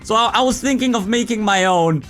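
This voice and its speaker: A man gives casual, spontaneous reaction commentary in a very high, bright voice. He sounds excitable and informal, swearing freely as he chats to viewers. There is music in the background. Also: brisk. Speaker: YouTube reactor, host of the channel.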